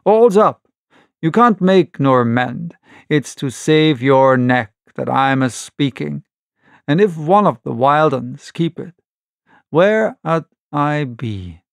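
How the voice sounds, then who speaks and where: A man's voice: on the high side, lively intonation, slow pace, creaky, dry recording, clean studio sound, heavily acted. A man voicing a sly, coaxing older pirate ship's cook with folksy sailor's speech, in a storybook reading. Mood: coaxing, urgent.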